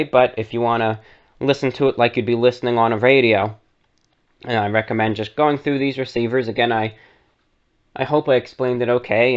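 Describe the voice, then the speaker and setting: Man explaining step by step, muffled recording. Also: mid-range voice. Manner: conversational, step-by-step instructions with asides. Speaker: radio show host. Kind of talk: explanatory how-to tutorial narration.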